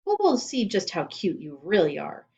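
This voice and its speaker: A woman voicing an irritable, menacing female schoolteacher with a demanding, sharp manner in a children's storybook. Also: lowish voice, huge pitch swings, moderate pace. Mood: mocking, threatening. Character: irritable, menacing female schoolteacher who threatens her pupils. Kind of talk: children's storybook read aloud.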